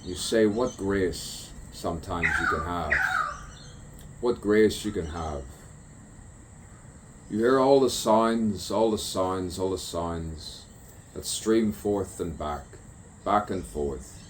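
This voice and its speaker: A man's voice: low-pitched, slow pace, slight background noise. A man delivering a stream-of-consciousness spoken poem, musing and repeating rhyming phrases. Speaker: poet.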